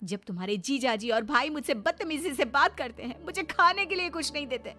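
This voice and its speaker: A woman's voice: high voice, animated, fairly quick, sharp tone, pitched above the performer's own voice. A woman voicing an outspoken, indignant young wife in a cartoon story, argumentative and sarcastic. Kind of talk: Hindi cartoon story dialogue.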